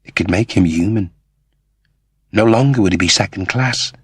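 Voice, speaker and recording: A male audiobook narrator with a lowish, husky voice reads scripted fiction. His delivery is measured and dramatic. The sound is dry and close. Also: no room sound.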